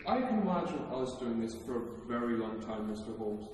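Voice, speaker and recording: A man's voice: mid-range voice, very animated, fairly quick, lightly acted. A man playing a mocking, theatrical criminal mastermind in an audio drama, playful and menacing in a taunting, mock-affectionate way. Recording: very echoey, distant, noisy hall.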